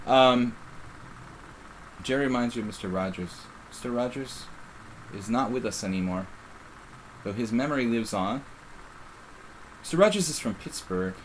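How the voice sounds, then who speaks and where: A man's voice: medium pitch, normal speed, long pauses, slight background noise, low-quality recording. A middle-aged man with a faint Philadelphia accent, casually commenting on his own live chess game in a rambling, self-interrupting way. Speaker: chess streamer.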